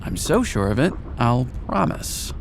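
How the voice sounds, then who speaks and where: A man's voice: mid-range voice, big pitch swings, a little slow, gravelly, background noise. An actor playing a tender, devoted middle-aged family man, speaking in a sincere, reflective and lightly humorous way. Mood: happy, fond. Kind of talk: heartfelt voice acting, journal narration. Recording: voice over background music.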